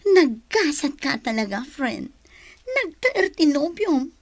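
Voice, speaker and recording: An actress in a radio drama playing an excitable young female friend who gushes and squeals. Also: high voice, slow pace, no echo, heavily acted. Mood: excited. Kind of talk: broadcast radio drama acting.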